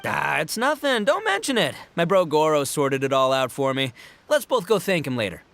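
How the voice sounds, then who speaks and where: An actor playing a brash, jovial, slow-witted young gang boss who talks in breezy slang in a video game. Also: male voice, a little high, sing-song, creaky, fairly bright tone, full character voice. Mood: breezy, boastful. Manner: boisterous, slangy, dramatic. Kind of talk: video game cutscene acting.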